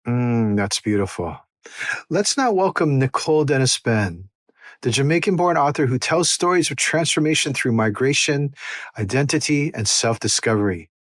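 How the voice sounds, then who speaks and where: A man's voice: medium pitch, dry recording, studio quality. Polished male podcast host. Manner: measured, polished delivery. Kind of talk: scripted narration and interview questions.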